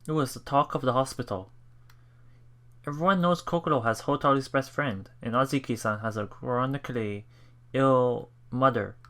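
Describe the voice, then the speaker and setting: Gravelly-voiced man reading a game aloud. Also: medium pitch, fairly bright tone. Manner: halting, thinking aloud between read passages. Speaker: let's play host. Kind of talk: reading visual novel text aloud with commentary.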